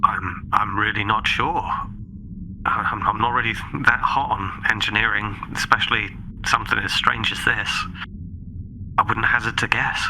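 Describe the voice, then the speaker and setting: A man's voice: fairly low pitch, fairly even intonation, normal speed, sharp tone, a little hiss or hum, poor audio. A man improvising in character in a tabletop game, speaking politely, tentatively and a little wordily.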